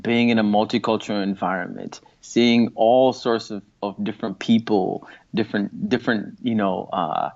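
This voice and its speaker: A man around forty from Singapore, talking over his past in an interview, pausing and restarting as he thinks. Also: lowish voice, fairly even intonation, gravelly, darkish tone. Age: late 30s or 40s. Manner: thoughtful, halting with restarts and fillers. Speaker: guest. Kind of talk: reflective interview talk. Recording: muffled lo-fi audio.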